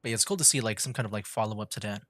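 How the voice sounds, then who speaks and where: A man's voice: on the low side, racing, a bit bright. Casual, chatty male gaming streamer. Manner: informal, chatty, hesitant rambling. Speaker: gaming livestreamer. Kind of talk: casual livestream commentary and chat. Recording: game music behind. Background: video game music.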